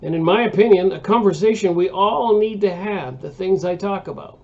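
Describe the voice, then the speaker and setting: A man's voice: high voice, moderate pace, lo-fi sound. An American man in his sixties, hosting a book review channel, talks in a casual, rambling monologue full of fillers and restarts. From United States. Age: 60s.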